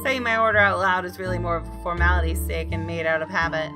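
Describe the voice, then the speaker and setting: Low-pitched woman narrating over music. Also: steady tone, fast. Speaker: gamer reading a visual novel aloud. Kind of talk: expressive narration of first-person game text.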